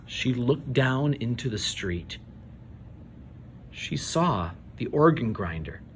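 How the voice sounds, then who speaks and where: Slow, expressive male storybook reader. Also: mid-range voice, slight background noise. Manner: measured, dramatic pauses. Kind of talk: expressive children's read-aloud narration.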